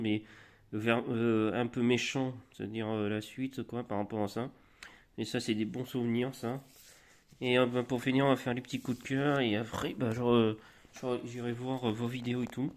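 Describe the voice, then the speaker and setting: A man in a mid-pitched voice rambles through a casual show-and-tell of flea-market toys. He's a little stuffed up from a cold. He stops and restarts his sentences often as he goes. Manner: rambling, halting, many restarts. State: slightly congested from a cold. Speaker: collector vlogger.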